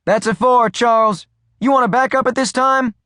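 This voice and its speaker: A man doing a brisk female police dispatcher. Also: very high voice, fairly even intonation, pushed and bright, no echo, studio quality, voice pushed up. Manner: clipped, businesslike.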